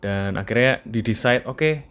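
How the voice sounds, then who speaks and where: A man's voice: mid-range voice, measured pace, fairly bright tone, clear, resonant tone. A young man with an East Javanese Indonesian accent, chatting casually in a video journal, slipping in English phrases and laughing now and then. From East Java. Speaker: vlogger.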